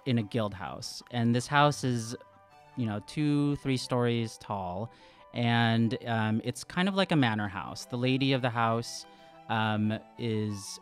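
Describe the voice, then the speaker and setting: A man improvising his character's story as a player in a tabletop game, hesitant and halting as he thinks aloud. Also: on the low side. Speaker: tabletop role-playing player.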